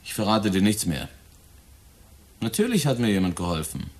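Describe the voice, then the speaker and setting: A man's voice: on the low side, big pitch swings, moderate pace, darkish tone, husky. A man in a German radio drama playing a cynical 23-year-old murderer who taunts his father in a cold, flippant, insinuating way. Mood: sly defiant.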